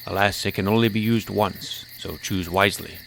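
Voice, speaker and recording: Greedy trickster jester, acted by a man, showy and teasing, on the low side, for a fantasy audio story. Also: steady tone, normal speed, creaky, a little hiss or hum. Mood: grand, bargaining. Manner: showy, teasing, savouring words. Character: sly, theatrical male jester, greedy trickster.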